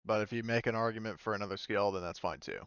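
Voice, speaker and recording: A man with a clean, smooth voice at a middle pitch leads a tabletop game as game master. He talks casually and tentatively, in a dry but slightly dulled recording. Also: quick pace, a bit bright, no room sound, muffled recording. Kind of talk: improvised game narration and casual table talk.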